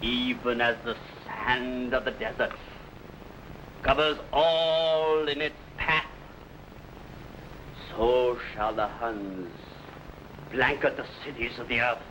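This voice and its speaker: An actor playing a ruthless, boastful barbarian warlord in a 1950s TV serial, speaking with grand, menacing pauses. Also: male voice, mid-range voice, fairly bright tone, a little hiss or hum, rough recording.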